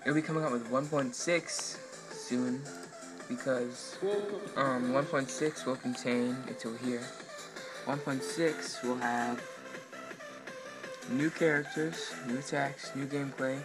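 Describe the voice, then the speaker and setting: A man giving a casual, halting, offhand walkthrough of his project, recorded indoors on a handheld camera. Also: mid-range voice, fairly even intonation, music in the background. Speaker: amateur game developer. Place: indoors at a computer. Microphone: handheld camera pointed at monitor. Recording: noisy amateur room recording.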